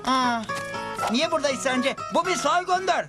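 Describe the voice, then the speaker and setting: Young cartoon gunslinger: high, cheeky, taunting, loud music behind. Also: male voice, normal speed. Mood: cocky. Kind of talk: Turkish-dubbed TV cartoon acting.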